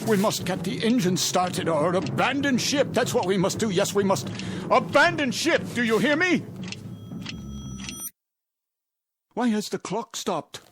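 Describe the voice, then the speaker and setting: A man's voice: high voice, lively intonation. An actor playing a frantic male ship passenger gripped by dread, agitated and repeating himself, in an audio drama. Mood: panicked. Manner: agitated, halting repetitions, rising to desperate cries. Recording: dramatic music under the voice.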